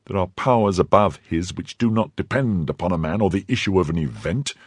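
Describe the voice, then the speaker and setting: A man voicing an indignant young wife who scolds her husband, pushing his husky voice higher. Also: animated, fairly quick, no echo, studio quality. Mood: scornful. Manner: scornful, pleading and scolding by turns. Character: young woman, indignant, fiercely loyal wife.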